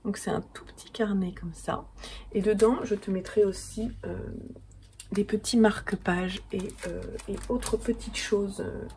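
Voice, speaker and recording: A woman's voice: mid-range voice, fairly even intonation, measured pace, warm tone, a little hiss or hum. A woman in her thirties with a French accent, chatting casually to camera at home, a little stuffy from a cold and full of fillers and restarts. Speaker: podcaster and yarn dyer.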